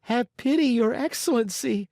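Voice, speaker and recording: A man voicing a brave, pleading little wooden boy puppet in a high, piercing voice. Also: moderate pace, darkish tone, no echo, clean studio sound.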